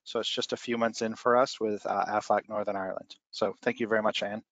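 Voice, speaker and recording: A man with an American accent introducing himself as a guest on a business webinar, plain and steady. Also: lowish voice, fast talker, dry recording, highs cut off. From United States. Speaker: guest speaker, insurance company director.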